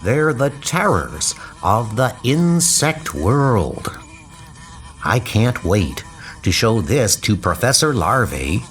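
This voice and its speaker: A man's voice: mid-range voice, very animated, slow pace, a bit bright, pitched below the performer's own voice. A man voicing an earnest, polite schoolboy insect collector in a comic book dub, speaking precisely. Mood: eager, reassuring.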